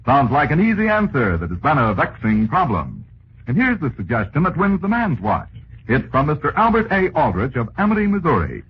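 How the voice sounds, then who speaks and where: An American man working as a 1940s radio announcer, reading sponsor copy with polished, folksy salesmanship. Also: mid-range voice. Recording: muffled, hissy 1940s radio recording.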